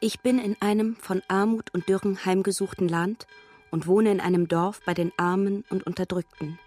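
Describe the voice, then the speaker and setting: An actress playing an earnest, compassionate nun, soberly reading out a letter in a radio drama. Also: female voice, mid-range voice, fairly even intonation, moderate pace, a little mellow, faint music behind, close to an everyday voice.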